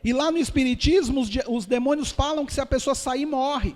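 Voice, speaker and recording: A man's voice: very high voice, brisk, darkish tone. A man with a Brazilian Portuguese accent, a pastor giving an earnest testimony sermon and calling his listeners dear ones. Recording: long hall reverb.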